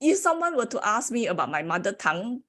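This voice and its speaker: A woman's voice: on the high side, very animated, quick pace, fairly bright tone. Malaysian woman, Mandarin first language, engineering lecturer giving a slide talk, hesitant. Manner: hesitant, frequent "okay" and "uh" fillers. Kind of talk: presenting her career story with slides.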